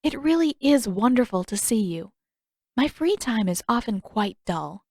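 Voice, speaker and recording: A woman voicing a gracious, sweet young princess in a fantasy audiobook, speaking politely and with gentle formality. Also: fairly high pitch, big pitch swings, measured pace, no echo, clean studio sound, exaggerated voice. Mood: friendly, candid.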